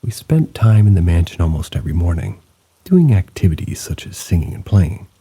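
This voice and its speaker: A man hosting a channel of paranormal stories, reading listener accounts aloud in a measured, low-key storytelling manner. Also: big pitch swings, warm tone, slightly rough. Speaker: host and narrator.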